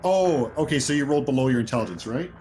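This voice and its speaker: A man's voice: medium pitch, expressive, fast, darkish tone, soft background music. A man as game master, improvising narration and explaining rules in a conversational way for a horror tabletop game.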